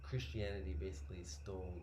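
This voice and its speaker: A male host leads a casual chat in a relaxed, friendly way, with a very deep voice. The room gives his voice a roomy echo, and there is background noise behind him. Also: quick pace. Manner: relaxed and friendly, drops in fillers.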